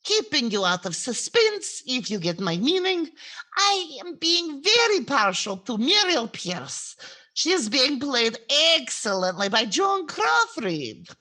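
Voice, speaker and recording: A woman's voice: sits high, takes it slowly, heavily acted. A woman playing a long-suffering, complaining housewife with inverted phrasing, in 1940s radio comedy style. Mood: droll. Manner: inverted syntax, dramatic deadpan punchlines. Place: indoors on a video call.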